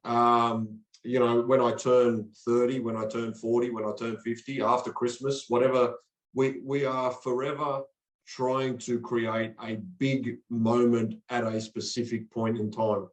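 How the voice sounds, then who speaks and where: An earnest man from Australia talks like a motivational coach, often doubling words as he gets going. His voice sits at an ordinary pitch. The room around him is echoey. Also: little pitch movement, measured pace. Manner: earnest, motivational, repeats words when starting phrases. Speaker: podcast guest, coach. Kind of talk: conversational interview.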